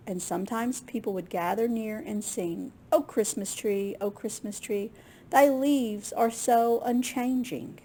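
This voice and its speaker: A woman with a slightly husky, gravelly voice reading a picture book aloud to young children in an expressive way. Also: on the high side. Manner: expressive, with gasps and questions to young listeners. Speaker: children's librarian storyteller.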